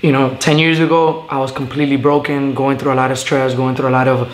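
A young man from Venezuela, a guest telling his story in a casual interview, earnest and halting with many fillers, sitting on a living room couch. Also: medium pitch, flat delivery. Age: young adult. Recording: echoey room with faint hiss.

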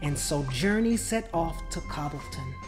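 A man reading a children's story aloud as narrator, dramatic and deliberate, drawing out key words. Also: fairly high pitch. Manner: expressive, deliberate phrasing with drawn-out words. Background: music.

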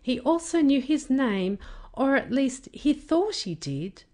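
A woman reading a children's story as a careful, expressive narrator. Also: high voice, takes it slowly. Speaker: storybook reader.

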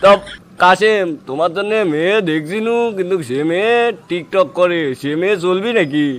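A man's voice: sits high, normal speed, a bit bright. A man with a Bogra accent from northern Bangladesh voicing a wheedling, money-minded village matchmaker in a comic cartoon, explaining and bargaining repetitively. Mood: hedging. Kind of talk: comic dialect cartoon voice acting.

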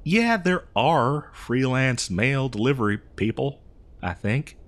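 A man's voice: medium pitch, expressive, slow. Guy doing a folksy old west drifter, exasperated n rambly, voice swings up and down, comedy audio roleplay. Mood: sheepish, rambling. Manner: folksy, rambling, hesitant improvised delivery. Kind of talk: improvised comedic audio roleplay addressed to the listener.

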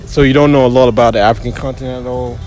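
A young man conducts a light street interview at an outdoor mall. He teases his guests and reads out answer options slowly, talking into a handheld phone. Music plays clearly in the background. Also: medium pitch, fairly even intonation, darkish tone. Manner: teasing, playful, repeats options slowly.

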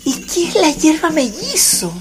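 A worried, devoted woman in a radio play. She speaks in a fairly high, very animated Chilean voice, emotive and anxious, with music behind. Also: measured pace. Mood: anxious questioning. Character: anxious, devoted female friend of a bewitched woman. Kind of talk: radio drama acting.

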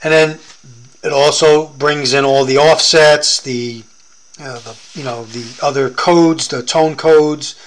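A man's voice: a little high, steady tone, takes it slowly, low-quality recording. An American man demonstrating a product in a casual hands-on tutorial, explaining informally step by step.

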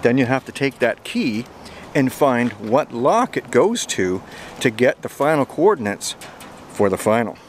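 A chatty, upbeat man in his forties from Canada narrates his outdoor finds with a voice of middle pitch. He talks into a handheld camera at arm's length on a summer trail, with a faint outdoor hum behind him. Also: very animated, unhurried. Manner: chatty, upbeat, laughs often. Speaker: geocaching vlogger. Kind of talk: casual outdoor vlog commentary.

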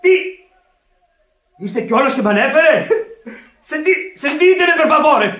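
An actor in a radio play playing a young, boastful, clownish working-class Italian suitor, excitable and wheedling, dropping in Italian words. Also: male voice, extremely high pitch. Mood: agitated. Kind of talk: radio theatre acting. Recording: echoey archive radio theatre recording.

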